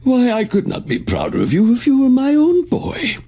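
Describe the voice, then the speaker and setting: An actor playing a pompous, fatherly con man of a sea creature in a cartoon game, with a husky voice and theatrical flourishes. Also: very high voice, wildly swooping pitch, normal speed, warm tone, heavily acted. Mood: smooth-talking, fatherly. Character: grandiose, fatherly male driving-school swindler, a sea creature.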